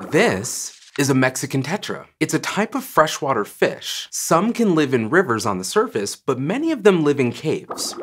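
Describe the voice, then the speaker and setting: Lively, breathy-voiced man hosting a kids' science show. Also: medium pitch. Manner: bright, encouraging, talks to an unseen puppet sidekick. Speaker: children's science show host. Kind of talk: scripted kids' science lesson to camera. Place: studio set.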